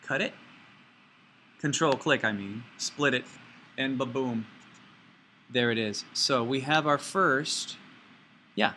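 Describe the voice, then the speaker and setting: A man giving informal step-by-step software instruction as a teacher, with folksy, playful phrasing. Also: medium pitch, slow, long pauses, a little hiss or hum. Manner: folksy, playful asides and sound words.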